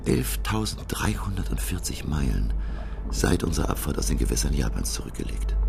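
An actor playing a thoughtful middle-aged professor, narrating his sea voyage in a measured, literary style for a radio drama. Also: very deep voice, gravelly, heavily acted.

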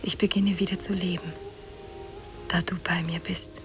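A woman's voice: on the low side, little pitch movement, normal speed, music in the background, lightly acted. A woman playing a beautiful, tender and cunning captive wife in a 1950s German radio play. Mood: tender, breathy. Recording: old radio tape, faint noise.

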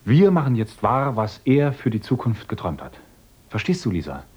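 An actor playing an earnest, idealistic young village teacher in a radio play who lectures eagerly and swings between gentle talk and rapturous visions. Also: male voice, mid-range voice, huge pitch swings, moderate pace, slightly rough, lo-fi sound. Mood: earnest, dreamy.

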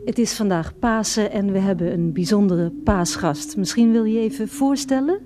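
A woman presenting a radio comedy show in a brisk announcing style. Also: mid-range voice.

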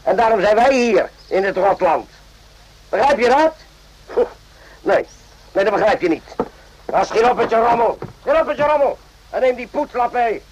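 An actor playing an irritable, suspicious army medic in a radio drama, with a gruff, interrogating manner. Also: male voice, extremely high pitch, animated, moderate pace, long pauses, a little hiss or hum. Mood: scornful. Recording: dull lo-fi radio play recording.

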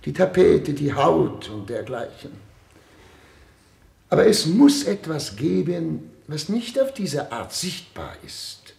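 An imperious, haunted ruler in a radio drama, brooding and agitated. He speaks very slowly, with wide swings of pitch, in a roomy echo. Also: big pitch swings, vocal fry, darkish tone, heavily acted. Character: anxious, sleepless, brooding male head of state. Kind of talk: German radio play acting.

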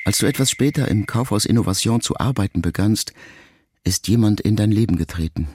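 A middle-aged actor playing a reflective grown son who addresses his dying mother in a measured, intimate radio-play monologue, with a gravelly voice. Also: fairly low pitch, animated, darkish tone, lightly acted. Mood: probing, reflective. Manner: measured, introspective, intimate storytelling. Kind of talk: literary first-person monologue in a radio play. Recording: music under the voice. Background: music.

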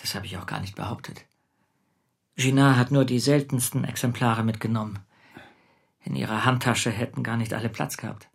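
An actor playing a gentle, ashamed middle-aged husband in a German radio drama, speaking with polite restraint. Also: male voice, mid-range voice, moderate pace, vocal fry, slightly rough, no room sound, clean studio sound. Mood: subdued, polite. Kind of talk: German radio drama, introspective narration and dialogue. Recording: music behind the voice.

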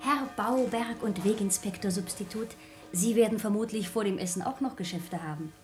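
An actress playing a quick-witted, pert young daughter, glib and coyly evasive, in theatrical radio comedy style. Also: female voice, mid-range voice, over music, lightly acted. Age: young adult.

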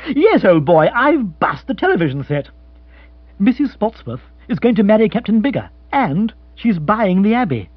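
A man's voice: sits high, very animated, normal speed, no room sound, lo-fi sound, pitched above the performer's own voice. A man voicing a dim, self-absorbed chap, for an audiobook. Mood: listless, fussed. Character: dim, moody upper-class English chap, blithely self-absorbed.

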